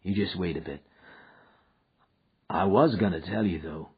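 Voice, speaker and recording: A tired frontier man murmurs in a low, husky drawl, soft and patient with the little one beside him, as a male reader plays him. The voice sits deep and rough, and the recording is slightly dull and lo-fi. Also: a little slow, heavily acted. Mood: gentle. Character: weary frontier man, gentle with the child.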